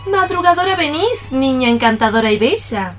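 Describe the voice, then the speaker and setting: Scheming old busybody cockroach mom, highish voice, scolding, dramatic radio theatre delivery. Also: female voice, animated, soft background music. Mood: teasing. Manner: exclamatory, theatrical, drawn-out phrases. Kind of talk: radio theatre acting in archaic poetic Spanish.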